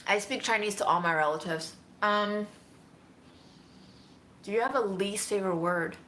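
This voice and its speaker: A woman's voice: mid-range voice, moderate pace, sharp tone, slight background noise. A woman chatting casually as she answers viewer questions, musing and easygoing. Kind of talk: casual Q&A chat.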